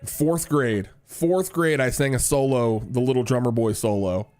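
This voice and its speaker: A man's voice: mid-range voice, a little slow, a little mellow. A man hosting a livestream, chatting casually and rambling with many restarts as he answers viewers. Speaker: livestream host and worship leader.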